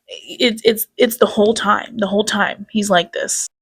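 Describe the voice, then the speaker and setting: A woman's voice: mid-range voice, fairly even intonation, unhurried, a bit bright, breathy edge. A woman hosting a commentary video, reacting to a streamer's clips with sarcasm and scorn, and swearing. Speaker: commentary host.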